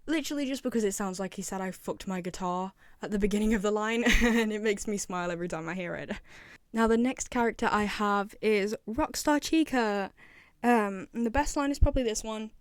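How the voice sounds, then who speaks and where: A woman's voice: medium pitch, a little fast, clear, resonant tone, no room sound, clean studio sound. A woman presenting casual fan commentary in an enthusiastic way, repeating phrases for emphasis. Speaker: video presenter.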